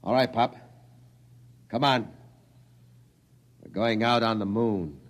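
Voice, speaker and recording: An actor playing a gruff, capable spaceship pilot, terse and commanding, in 1950s radio drama style. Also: male voice, fairly low pitch, takes it slowly. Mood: steady, practical. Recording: noisy vintage radio recording.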